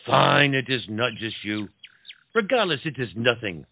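A man's voice: mid-range voice, big pitch swings, normal speed, gravelly, dry recording. A man plays an urbane French nobleman and officer in a comic audio play. He is reassuring and coaxing, with a theatrical delivery sprinkled with French words. The sound is dry and muffled. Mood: coaxing, patient. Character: reassuring, urbane French marquis and military officer, loyal friend.

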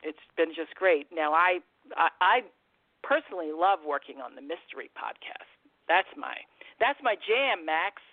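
A woman from Massachusetts chatting casually as a podcast guest, enthusiastic but halting as she finds her words. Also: fairly low pitch, animated, no echo. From Massachusetts, USA. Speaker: podcast guest and production helper. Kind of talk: casual interview chat. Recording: dry, thin phone line.

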